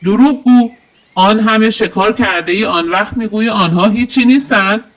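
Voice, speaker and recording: A woman voicing a fat, lazy male wolf in a storybook, loud, bullying and domineering. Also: medium pitch, lightly acted, rough recording. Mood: angry, threatening.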